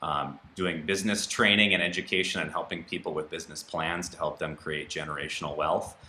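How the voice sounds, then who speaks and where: A man with a very deep, forceful voice gives a candidate's answer at a public forum. The room adds noticeable echo around his words, with faint noise underneath. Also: steady tone, a little fast. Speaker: city councilor and candidate. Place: indoor TV studio.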